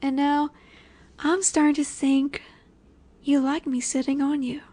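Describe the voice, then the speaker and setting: A woman acting as a cocky female werewolf alpha in a flirty audio roleplay. Her voice is high and she takes her time over each phrase. She taunts and teases, sure that she is in charge. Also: little pitch movement, measured pace, warm tone. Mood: seductive, teasing. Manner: teasing, taunting, confident.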